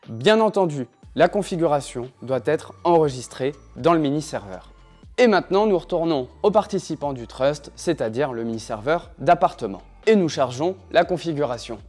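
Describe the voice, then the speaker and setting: A man with a slightly high voice narrates a scripted tutorial. He explains in a clear, instructional manner, taking things step by step. Light music plays behind him. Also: darkish tone. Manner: clear didactic step-by-step delivery. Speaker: tutorial presenter. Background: background music.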